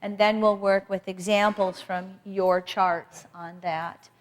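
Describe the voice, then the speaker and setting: A woman teaching an astrology workshop in a loud, emphatic voice, repeating key points for her class. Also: mid-range voice, steady tone, slow pace. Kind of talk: workshop lecture.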